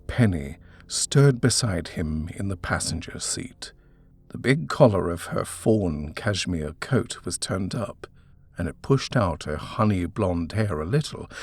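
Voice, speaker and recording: Low, husky male storyteller. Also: no room sound. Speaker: audiobook narrator. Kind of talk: scripted dramatic reading of a horror story.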